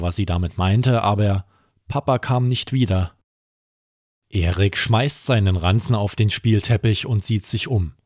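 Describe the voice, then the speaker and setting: A man hosting and narrating a scripted children's bedtime story, measured and suspenseful, with deliberate pauses. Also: fairly low pitch, darkish tone, dry recording, studio quality. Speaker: podcast host and storyteller.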